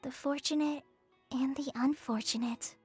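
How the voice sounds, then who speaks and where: A woman's voice: high-pitched, fairly even intonation, measured pace, a little mellow, faint music behind. A woman voicing an earnest, kind, troubled young person in scripted game dialogue, hesitant and thoughtful. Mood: troubled, pensive.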